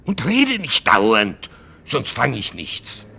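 An old father in a radio drama, with a creaky, husky voice. He is anxious and weighed down by guilt, speaking slowly and haltingly, then swinging high into outbursts. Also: wildly swooping pitch, pushed and bright, slight background noise, full character voice. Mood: dismissive, assured. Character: anxious, guilt-ridden old father, simple Prague man. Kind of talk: radio play acting.

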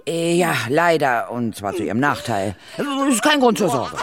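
Low-voiced, expressive female ghost hunter. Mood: tactful, urgent. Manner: deliberate, tactfully explanatory. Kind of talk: children's radio play acting.

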